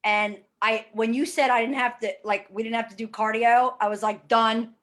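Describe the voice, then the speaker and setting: A woman's voice: on the high side, fairly even intonation, normal speed, a bit bright. A woman in her forties speaking candidly and emphatically about her own experience as a fitness program client, with blunt, casual swearing. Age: 40s. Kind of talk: candid testimonial conversation.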